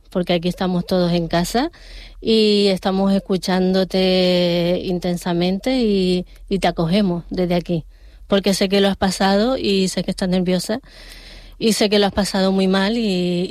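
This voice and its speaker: A woman hosting a radio interview. She speaks gently and reassuringly, with many pauses and restarts. The studio sound is close and dry. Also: medium pitch, dry recording. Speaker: radio host.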